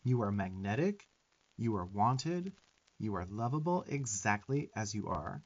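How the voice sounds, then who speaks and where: Sassy young demon twink, acted by a man, swooping pitch. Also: expressive, a little slow, muffled recording. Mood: earnest, affectionate. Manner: sassy, emphatic, profane tough-love delivery. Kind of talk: ASMR affirmation roleplay.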